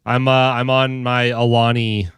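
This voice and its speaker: A young man hosts a casual live stream with a stuffed-up voice from a head cold, sounding a little tired as he chats with viewers. His pitch sits in a middle range for a man. The sound is dry and close, with no room echo. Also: level tone, a little slow, a bit bright, clean tone. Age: young adult. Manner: chatty, reads and greets viewer comments. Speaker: hockey streamer.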